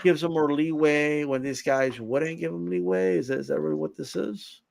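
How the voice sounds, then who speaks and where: Brief: male co-host, slightly high voice, halting questioner, dry audio. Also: lively intonation, vocal fry, darkish tone, no echo. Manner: halting, asks questions, restarts often. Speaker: podcast co-host. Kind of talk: casual panel chat.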